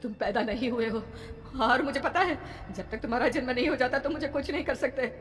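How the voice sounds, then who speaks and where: A woman's voice: sits high, fairly quick, voice pushed up, close to an everyday voice. A woman voicing an emotional, earnest aunt, brave but frightened, in a horror cartoon. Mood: resolute. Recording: long reverb, background noise.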